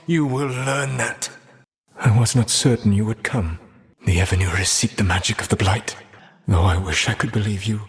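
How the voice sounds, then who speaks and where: An actor playing an ancient, proud elven rebel mage burdened by regret in a video game, speaking in a grave, formal, measured way. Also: male voice, mid-range voice, long reverb tail, lightly acted. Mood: defiant. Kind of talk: theatrical video game voice acting.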